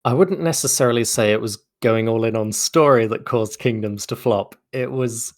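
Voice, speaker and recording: A man's voice: mid-range voice, normal speed, dry recording, studio quality. A man speaking as an interview guest, articulate and explanatory in a thoughtful conversational style. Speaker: interview guest, game narrative designer.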